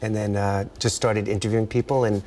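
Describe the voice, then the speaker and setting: A man's voice: on the low side, flat delivery, fairly quick, a little mellow, slight background noise. An American man, an author being interviewed, chatting casually and rambling, often trailing off mid-thought.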